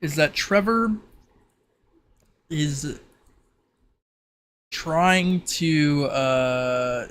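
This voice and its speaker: A man improvising narration as game master of a tabletop roleplay, speaking haltingly with frequent pauses. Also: a little high, fairly bright tone, a little hiss or hum.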